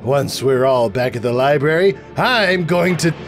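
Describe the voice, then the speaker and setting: A man voicing an overconfident, theatrical undead young female pony scholar, brisk and bossy, in a dramatic storybook reading. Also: mid-range voice, animated, music in the background, pitched above the performer's own voice. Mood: gloating. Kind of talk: dramatic fan fiction reading.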